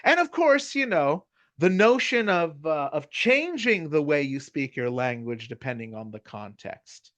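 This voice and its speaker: A man's voice: fairly high pitch, sing-song, measured pace, fairly bright tone, no room sound. A middle-aged American man with a Californian accent giving a casual, chatty lecture, often checking in with the listener. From United States. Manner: casual, chatty, frequent "you know" and "right?" tag questions. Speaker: university lecturer. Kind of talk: conversational lecture.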